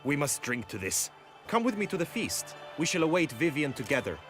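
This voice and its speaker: Chivalrous romantic knight for a fantasy game, formal and earnest, lively intonation, music behind. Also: male voice, fairly high pitch, fairly quick.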